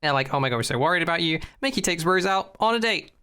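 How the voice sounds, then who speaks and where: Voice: mid-range voice, expressive, fast, sharp tone, clean tone. A podcast host chatting casually in a rambling, informal way, swearing freely and sounding amused.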